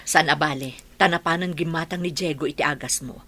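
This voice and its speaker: A Filipino woman acting a caring, earnest female relative in a radio drama, with a husky, breathy voice and a Filipino accent. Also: low-pitched, brisk, bright, forceful tone. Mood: earnest, reassuring.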